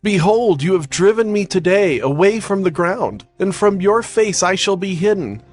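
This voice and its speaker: A man's voice: high-pitched, lively intonation, normal speed, faint music behind. An actor playing a defiant, anguished guilty man, emotional and protesting, in dramatized scripture.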